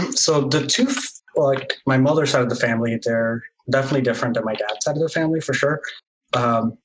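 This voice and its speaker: A relaxed man from Brazil, mid-range in pitch, answering casually and often pausing with fillers to think. A steady hiss of noise sits under his voice on this home stream. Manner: relaxed, hesitant, many fillers. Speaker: interview guest.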